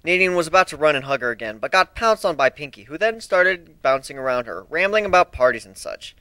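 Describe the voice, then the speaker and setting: Dramatic reader for fan fiction narration; crisp brightness and very mobile intonation. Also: medium pitch, animated, brisk, no echo.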